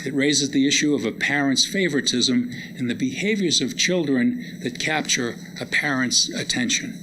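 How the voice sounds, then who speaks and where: A man's voice: mid-range voice, moderate pace, sharp tone. A middle-aged man giving a public talk, introducing and reciting poems at a live lecture. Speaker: college lecturer and poet presenting readings. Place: live lecture event before listeners. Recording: noisy live hall recording.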